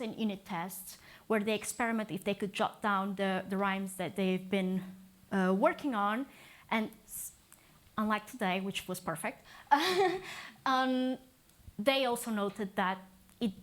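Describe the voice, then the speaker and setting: A young woman from Portugal, giving a chatty, upbeat talk at a tech meetup, often laughing at herself. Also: fairly high pitch. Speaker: conference speaker.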